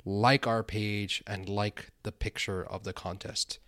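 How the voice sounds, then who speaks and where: A man co-hosting a casual sports podcast, easygoing and halting, often restarting his sentences. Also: fairly low pitch, level tone, a bit bright, no echo, studio quality. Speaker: podcast co-host.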